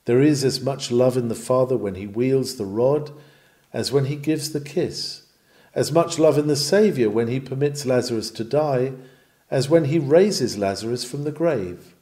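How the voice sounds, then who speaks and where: A man's voice: medium pitch, moderate pace, warm tone. A man reading a historic sermon aloud in an earnest, oratorical and rhetorical manner, with emphatic delivery.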